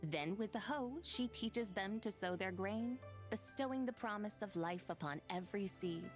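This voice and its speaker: A woman voicing a proud, serene female immortal in a video game, speaking formally and in measured, stately phrases. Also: medium pitch, close to an everyday voice. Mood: reverent, solemn. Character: proud, serene female immortal moon-maiden, aloof toward mortals. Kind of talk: scripted video game dialogue and poem recitation. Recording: clean studio voice over game music.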